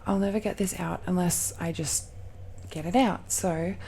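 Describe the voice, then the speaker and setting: A woman voicing a tender, nervous girlfriend of dragon blood in an intimate romantic audio roleplay, speaking haltingly and softly, often dropping into whispers. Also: on the low side, fairly even intonation, creaky, slight background noise, low-quality recording. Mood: adoring, hesitant. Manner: slow, halting, hushed, often sighing or lapsing into whispers. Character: tender, nervous dragon-descended girlfriend confiding in her lover.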